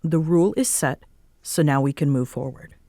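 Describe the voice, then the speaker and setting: Prickly, low-voiced woman roommate, acted by a woman. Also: mellow, no echo. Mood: stern, guarded. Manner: curt, halting, defensive. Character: prickly young woman roommate, guarded, bossy, secretly soft. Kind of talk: intimate roleplay audio drama.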